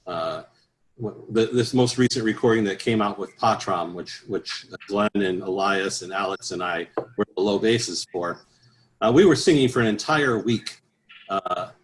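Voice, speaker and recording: A man giving relaxed, easygoing interview answers as a guest choral bass singer, with frequent restarts and filler sounds. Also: normal speed, a little hiss or hum, poor audio. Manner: easygoing, many restarts and "uh"s, chuckles at his own stories.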